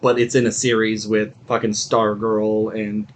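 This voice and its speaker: A man's voice: on the low side, flat delivery, unhurried, slight background noise. A man co-hosting a podcast, giving his reviews in casual, informal chat. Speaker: podcast co-host reviewing movies and shows.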